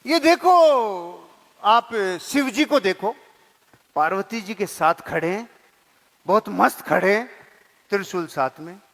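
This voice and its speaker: Man playing a high-voiced curious wife, echoing hall. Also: expressive, slow pace, long pauses, a bit bright, full character voice. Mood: coaxing, puzzled. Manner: coaxing, insistent questioning. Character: nagging curious wife in a comic anecdote. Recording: long hall reverb.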